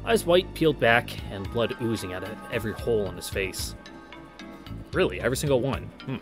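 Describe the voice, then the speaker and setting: A man's voice: medium pitch, big pitch swings, brisk. A man reading a visual novel aloud, breaking off into wry, informal commentary, with game music behind him. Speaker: let's-play narrator. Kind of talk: visual novel read-through with casual commentary.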